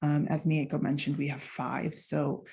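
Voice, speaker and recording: A young woman with an American accent talks through her research at a symposium. Her voice sits low and warm, and she explains things in a loose, conversational way. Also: little pitch movement, unhurried. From United States. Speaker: doctoral researcher and clarinetist presenting. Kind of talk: academic conference presentation.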